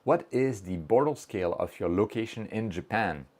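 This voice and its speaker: Chatty livestream host, low voice. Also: male voice, lively intonation, normal speed, darkish tone. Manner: chatty, reads chat messages aloud, self-joking; explains technical steps plainly. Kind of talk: casual livestream chat.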